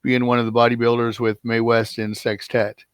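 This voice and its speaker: A man's voice: medium pitch, completely flat delivery, moderate pace, clear, resonant tone, no echo. A man co-hosting a video call chat, eager and tripping over his words as he talks. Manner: eager, stumbles and repeats words.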